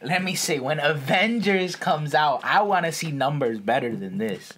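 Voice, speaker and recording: A man's voice: fairly high pitch, expressive, moderate pace, fairly bright tone, a little hiss or hum. A man co-hosting an entertainment podcast, chatting informally with slang and some swearing. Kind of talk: casual slangy chat, reacting to co-host.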